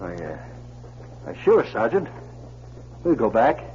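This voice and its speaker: A shifty, scheming prospector in a 1940s radio adventure. He talks briskly in a mid-range voice. The sound is muffled, with faint hiss. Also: medium pitch, huge pitch swings, darkish tone. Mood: nervous, evasive. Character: sly, scheming crooked prospector.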